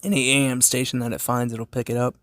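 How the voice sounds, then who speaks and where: Man, informal gadget reviewer, medium pitch, repeats himself while thinking aloud, close dry sound. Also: level tone, fast, a bit bright, no room sound. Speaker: gadget reviewer and channel host. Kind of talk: casual hands-on product review.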